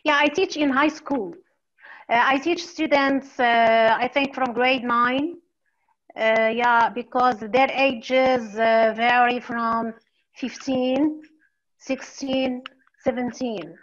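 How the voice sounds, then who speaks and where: Middle-aged woman, Moroccan accent, teacher on a call: slow delivery, slightly high voice, lo-fi sound. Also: fairly even intonation. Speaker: English teacher, guest. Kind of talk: informal self-introduction on a video call. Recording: dull, lo-fi call audio.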